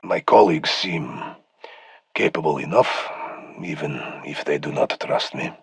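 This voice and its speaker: Slow, gravelly, brooding ex-soldier. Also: male voice, extremely low pitch, dry recording, heavily acted. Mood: bitter, subdued. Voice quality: gravelly, raspy. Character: brooding, distrusted male ex-soldier, bitter and menacing. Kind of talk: scripted video game voice lines.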